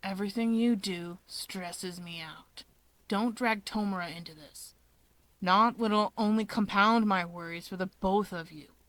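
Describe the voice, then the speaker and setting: A woman's voice: medium pitch, measured pace, a bit bright, no room sound, close to an everyday voice. An exasperated older brother from a story, voiced by a woman. He nags in a subdued, terse way, anxious under the sarcasm. The recording is very dry. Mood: weary, worried. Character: weary, nagging, anxious, sardonic older brother.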